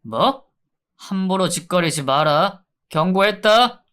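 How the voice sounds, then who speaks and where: A man's voice: high-pitched, expressive, slow, fairly bright tone, clean tone, heavily acted. A man voicing a stern male prison guard who gives curt, cold orders.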